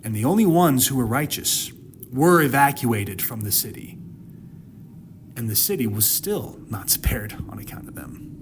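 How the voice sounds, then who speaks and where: A man delivering a dramatic Bible teaching monologue, emphatic, with rhetorical questions and pauses for effect, over noticeable background noise. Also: mid-range voice, fairly bright tone. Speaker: host of a Bible teaching series.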